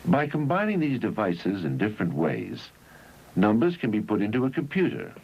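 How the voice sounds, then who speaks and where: Low-voiced man narrating old educational film. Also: huge pitch swings, slightly rough. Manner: measured, instructional delivery in short phrases. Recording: dull, noisy 1971 educational film soundtrack.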